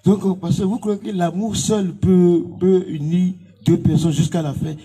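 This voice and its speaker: A man's voice: high voice, steady tone, moderate pace, mellow, slightly rough. A man, asking informal, probing questions as an interviewer.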